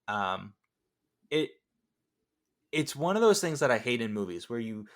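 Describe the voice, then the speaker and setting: Male film podcast panelist, average register, informal and conversational delivery. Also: medium pitch. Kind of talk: casual film discussion.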